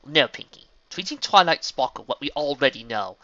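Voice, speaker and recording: Man voicing a booming, imperious pony princess. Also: lively intonation, moderate pace, pushed and bright, dry recording, highs cut off. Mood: stern, impatient. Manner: formal, booming, archaic. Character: loud, imperious pony princess speaking archaic royal English.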